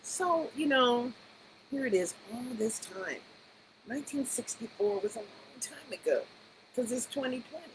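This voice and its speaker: A woman's voice: fairly high pitch, lively intonation, normal speed, background noise. A woman in her sixties giving rambling, conversational commentary with emphatic rhetorical questions. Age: 60s. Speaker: education advocate. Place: Las Vegas area.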